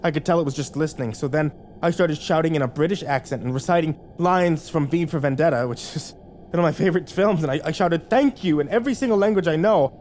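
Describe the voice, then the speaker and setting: A man narrating a first-person horror story, hushed and tense, with occasional acted lines. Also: fairly high pitch, fast talker, slight background noise.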